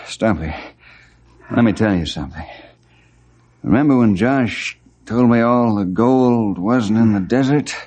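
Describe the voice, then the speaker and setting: An actor playing a steady, commanding ranger in an old radio western, firm and authoritative. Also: male voice, on the low side, a little slow, long pauses. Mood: grave, firm. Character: steady, commanding male ranger leading desert party. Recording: noisy old radio recording.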